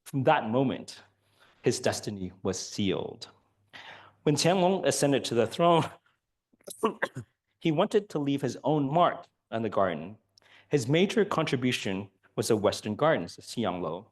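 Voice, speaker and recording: A hoarse man giving an academic lecture, speaking softly with many ums and uhs. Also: medium pitch, sing-song, measured pace, pauses often. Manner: soft-spoken, many fillers. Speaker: guest lecturer.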